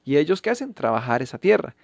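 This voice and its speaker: A man reading Bible verses and giving scripted commentary, measured and emphatic. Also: on the high side, sing-song, gravelly, no echo. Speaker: channel host refuting a pastor.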